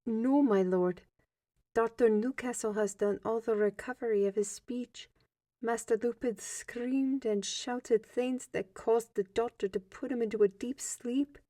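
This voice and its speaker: A woman's voice: a little high, steady tone, measured pace, mellow, no echo, studio quality, lightly acted. A woman playing a deferential young castle servant who hesitates and searches for words. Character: young female castle servant, polite and flustered.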